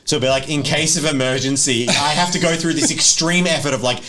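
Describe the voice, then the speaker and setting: A man's voice: fairly high pitch, fast, sharp tone, a little hiss or hum. A man acting as game master, narrating a tabletop horror game in an improvised, conversational and descriptive way.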